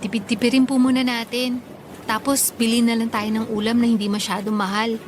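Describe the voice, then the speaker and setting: Woman acting a high-voiced, dutiful elder sister. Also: flat delivery, a little fast, slight background noise, natural voice. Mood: patient, polite. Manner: polite but firm. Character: young working daughter, dutiful, exasperated, firm elder sister. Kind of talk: radio drama acting.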